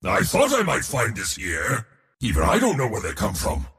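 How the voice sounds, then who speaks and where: An actor playing an arrogant, bombastic god of war in a video game, declaiming and gloating in a booming theatrical voice. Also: male voice, wildly swooping pitch, moderate pace, a bit bright, long reverb tail, exaggerated voice. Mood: gloating delight. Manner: booming, declamatory, gloating, short battle cries.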